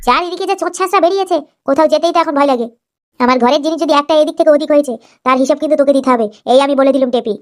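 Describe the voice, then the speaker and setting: A woman's voice: high voice, very fast, close to an everyday voice. A woman voicing a spiteful, scheming young stepmother who nags and complains in a whiny cartoon manner. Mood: nagging.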